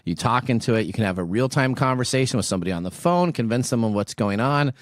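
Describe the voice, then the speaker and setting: A man's voice: medium pitch, animated, fast talker, no room sound, studio quality. A man hosting a tech radio show, explaining and discussing the news in a conversational, explanatory way.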